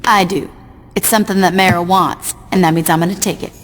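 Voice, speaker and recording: A woman's voice: lowish voice, steady tone, a little fast, slight background noise, natural voice. An actress playing a ruthless, sardonic female gang boss in a video game, commanding and coolly threatening. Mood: cool, decisive. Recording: very loud music behind the voice.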